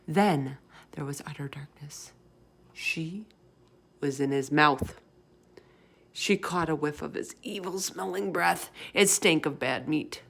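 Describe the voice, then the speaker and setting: A woman with a slightly low voice reads a children's story aloud. Her narration is expressive and theatrical, stretching key words for emphasis. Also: gravelly. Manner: dramatic, drawn-out emphasis.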